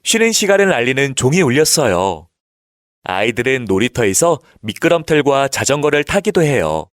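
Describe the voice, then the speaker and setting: A man's voice: medium pitch, big pitch swings, normal speed, no room sound, studio quality. A man narrating a scripted children's story, telling it expressively for young children.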